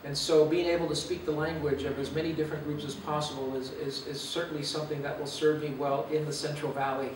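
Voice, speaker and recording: A man in his fifties from Los Angeles, giving an earnest, reflective talk as a guest speaker with frequent "uh" pauses. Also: a little high, flat delivery, background noise. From Los Angeles, USA. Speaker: guest speaker, university president. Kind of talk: personal talk to a church gathering. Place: meeting room or church hall. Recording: echoey room, mic far away.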